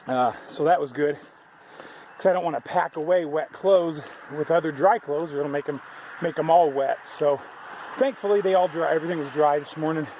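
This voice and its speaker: A man's voice: on the high side, expressive, normal speed, pauses often, a little mellow, slight background noise. A man talking casually to his camera outdoors on a hiking trail, rambling and restarting his sentences. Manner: rambling, conversational, many restarts. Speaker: thru-hiker vlogger.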